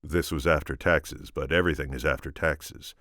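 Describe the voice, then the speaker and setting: A man reading a story aloud as expressive narration, theatrical and deliberate. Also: a bass voice, dry recording, studio quality. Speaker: amateur audiobook reader.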